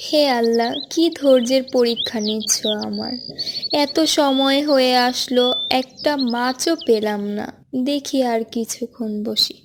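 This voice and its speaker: A woman's voice: a little high, unhurried, noisy background. A woman playing a devoted, anxious village mother in a cartoon fairy tale, plaintive yet determined. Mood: weary, hopeful. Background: music.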